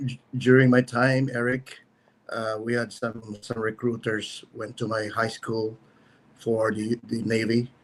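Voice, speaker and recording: A man's voice: mid-range voice, a little slow, rough recording. Easygoing joking man. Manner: relaxed, joking, rambling. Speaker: guest, businessman. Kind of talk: casual livestream chat.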